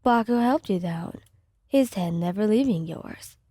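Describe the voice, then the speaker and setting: A woman reading scripted fan fiction as soft ASMR narration, with a gentle, soothing delivery. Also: medium pitch, lively intonation, a little slow, husky, no echo, clean studio sound.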